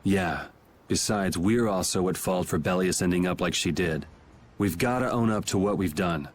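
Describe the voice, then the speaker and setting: A man's voice: low-pitched, moderate pace, slight background noise, natural voice. A man playing a laid-back young swordsman in a video game, speaking in a dry, matter-of-fact way. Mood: calm, wry.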